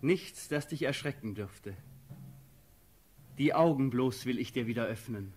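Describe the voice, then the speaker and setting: An actor playing a gentle cavalry officer in a classical radio play, speaking formally and deferentially. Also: male voice, mid-range voice, animated, unhurried, faint music behind, close to an everyday voice. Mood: courteous, solemn. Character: gentle, courteous cavalry officer attending a prince.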